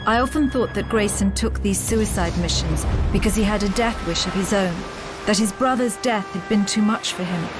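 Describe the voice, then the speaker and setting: A woman's voice: medium pitch, normal speed, lightly acted. Actress as a grave female officer narrating over music. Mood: grave, measured. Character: female military officer recounting the war, grave and measured. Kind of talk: scripted cutscene narration. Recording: music behind the voice.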